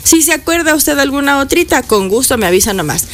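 A woman's voice: high voice, lively intonation, fast, a bit bright. A middle-aged woman hosting a morning radio show in casual chat, talking playfully and straight to her listeners. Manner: chatty, playful, addresses listeners directly. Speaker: radio host. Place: radio studio. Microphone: studio microphone.

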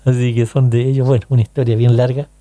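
A man's voice: mid-range voice, level tone, moderate pace, dark tone, no room sound. A man with a Chilean accent hosting a casual radio show, chatting to listeners with rhetorical questions and frequent check-ins. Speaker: radio host.

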